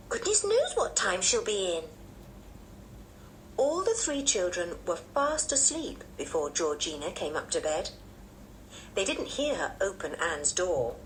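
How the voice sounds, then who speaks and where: A woman narrating a children's book in an expressive storytelling manner. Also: medium pitch, a little hiss or hum, poor audio. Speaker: audiobook narrator. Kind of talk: children's story read-aloud.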